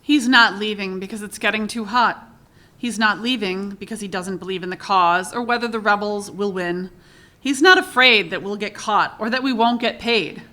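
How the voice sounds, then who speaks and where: A woman voicing a sharp, teasing female member of a smuggling crew in a radio play. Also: medium pitch, normal speed, natural voice. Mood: wistful. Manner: teasing, knowing.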